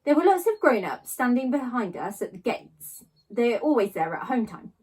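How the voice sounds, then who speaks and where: A woman reading a children's novel aloud, narrating in a child's first person with expressive storytelling emphasis. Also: on the high side, moderate pace, husky, low-quality recording. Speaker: storybook reader.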